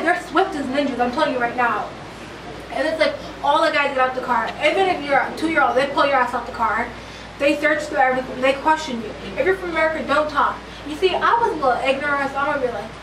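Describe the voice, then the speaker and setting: A young woman telling a story casually, in an animated, slangy way, acting out quotes. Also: sits high. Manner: animated, slangy, dramatic retelling with acted quotes. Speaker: interviewee. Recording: echoey, distant, noisy street recording.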